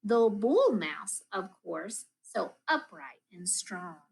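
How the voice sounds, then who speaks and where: A woman reads a rhyming children's storybook aloud with expressive, deliberate, rhythmic phrasing, like a school teacher. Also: medium pitch, creaky, breathy edge. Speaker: school math coach reading aloud.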